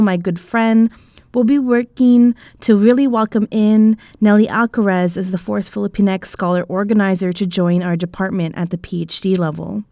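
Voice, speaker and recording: A woman from Canada, a PhD student, giving an earnest and deliberate self-introduction on a podcast. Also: mid-range voice, dark tone, no room sound, clean studio sound. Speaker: PhD student and community practitioner.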